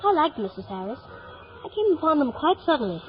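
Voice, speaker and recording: A woman's voice: high-pitched, big pitch swings, quick pace. A woman playing an earnest, eager, polite young boy full of wonder, in 1950s radio drama style. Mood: innocent, chatty. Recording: old radio broadcast, narrow band, music behind.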